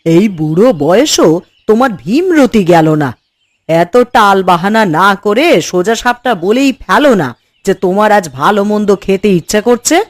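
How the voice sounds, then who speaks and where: A woman's voice: medium pitch, lively intonation, moderate pace, dry recording, close to an everyday voice. Grumbling, scolding old village wife played by a woman. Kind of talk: cartoon voice acting. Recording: music bed behind the voice.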